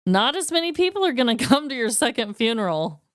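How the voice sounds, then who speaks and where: A woman's voice: fairly high pitch, sing-song, brisk, clear, resonant tone, no echo, studio quality. A woman co-hosting a podcast in casual chat, with an informal, blunt way of talking. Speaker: podcast co-host.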